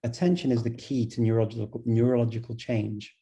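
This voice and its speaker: A man's voice: medium pitch, brisk. A man hosting a conference session over a video call, asking questions conversationally. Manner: asks questions in a relaxed conversational way. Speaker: conference host.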